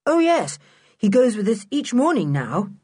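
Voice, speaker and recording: A woman voicing a polite, quick-thinking boy in a children's storybook. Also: mid-range voice, husky. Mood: polite, cheerful. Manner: polite, lively.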